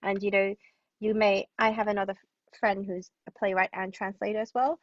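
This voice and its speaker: A woman's voice: mid-range voice, level tone, fairly quick, no echo, muffled recording. A woman from Hong Kong speaking casually as an interview guest, chatty and tentative in her phrasing. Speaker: playwright and translator, interview guest.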